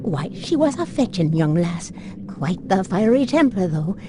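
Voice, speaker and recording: A woman's voice: on the low side, very animated, unhurried, noisy background. An actress playing a motherly, gossipy older servant woman, speaking wistfully in old-fashioned phrases in a game cutscene. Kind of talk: dramatic game cutscene acting.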